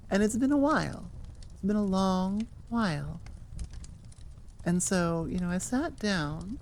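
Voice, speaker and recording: A woman's voice: fairly low pitch, dark tone, a little hiss or hum. A woman voice actor and channel host chatting casually and off the cuff to her listeners, rambling and enthusiastic. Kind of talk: casual unscripted chat to listeners.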